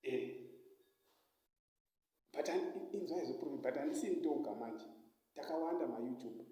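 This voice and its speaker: A man's voice: mid-range voice, expressive, a little slow, warm tone. A Zimbabwean man speaking as if on a comic one-sided phone call, hesitant and pleading, with frequent repeated interjections. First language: Shona. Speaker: YouTuber. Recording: very echoey, roomy sound.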